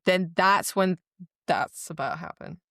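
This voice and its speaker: Female podcast co-host, lowish pitch, dry studio-close sound, excitable and slangy while retelling fiction. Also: measured pace, gravelly, dry recording. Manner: animated, exclamatory, slangy, dramatic quoting. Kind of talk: excited casual book chat, retelling scenes.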